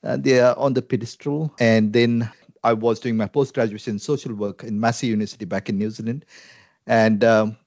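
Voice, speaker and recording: A man's voice: medium pitch, fairly even intonation, brisk, darkish tone, dry recording, muffled recording. Reflective Indian-accented man, dry sound. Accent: Indian English. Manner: earnest, reflective, frequent fillers. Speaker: Salvation Army minister. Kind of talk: conversational interview.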